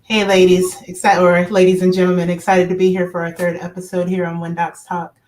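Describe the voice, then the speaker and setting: A woman's voice: fairly low pitch, little pitch movement, brisk, a little mellow. A woman from Baton Rouge giving case figures on a panel, matter-of-fact. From Baton Rouge, Louisiana. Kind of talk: panel discussion.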